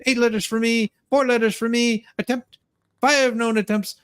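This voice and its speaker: Woman co-host reading aloud, slightly halting. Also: a little high, fairly even intonation, clear, resonant tone. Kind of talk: dramatic reading with brief asides.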